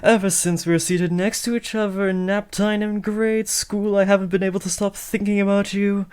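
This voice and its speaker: A man's voice: sits high, flat delivery, moderate pace, a little mellow, smooth, close to an everyday voice. A young man playing an awkward, lovestruck teenage would-be supervillain in a comedic audio roleplay, switching between theatrical menace and hesitant rambling. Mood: grandiose, smitten. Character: awkward lovestruck teenage boy, aspiring supervillain, eager, insecure. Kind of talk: comedic roleplay audio drama addressed to the listener.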